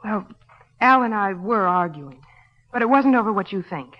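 A woman's voice: medium pitch, animated, normal speed, close to an everyday voice. An actress playing a desperate, defensive woman suspected of murder in an old radio drama, pleading and indignant. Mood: anxious confiding. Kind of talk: 1940s-50s radio drama acting. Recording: muffled old radio, long reverb.

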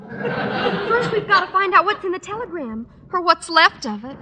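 An actress playing an excitable, earnest teenage schoolgirl in an old-time radio sitcom, with an eager manner. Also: very high-pitched, normal speed, some chatter behind, full character voice. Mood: anxious. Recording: echoey vintage radio recording.

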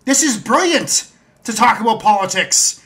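A Canadian podcast host chatting enthusiastically, swearing casually and repeating himself. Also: male voice, high voice, lively intonation, moderate pace, fairly bright tone. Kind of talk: casual chat.

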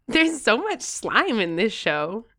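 A woman's voice: fairly high pitch, big pitch swings, takes it slowly, sharp tone, full character voice. A woman playing an earnest, eager thirteen-year-old kid with a heartfelt storytelling manner. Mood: eager, excited.

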